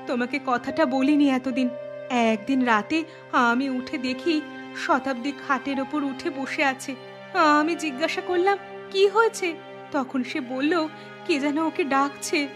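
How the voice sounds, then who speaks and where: A woman voices an anxious village female in a horror cartoon. Her voice is high and earnest. Music is clearly heard underneath. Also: a little slow. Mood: worried. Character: anxious village mother; also her troubled teenage daughter.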